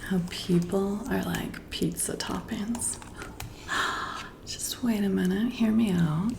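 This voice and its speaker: A woman playing a friendly, teasing boss working late, in a breathy, intimate, soft-spoken ASMR roleplay. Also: mid-range voice. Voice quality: breathy, whispery. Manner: slow, intimate, conversational. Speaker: ASMR performer.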